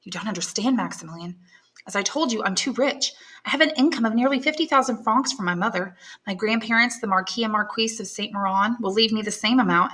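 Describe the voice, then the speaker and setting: A woman's voice: on the high side, a bit bright, pitched above the performer's own voice, lightly acted. A woman reading aloud and voicing an anxious young woman in love, earnest and pleading. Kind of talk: audiobook character voice.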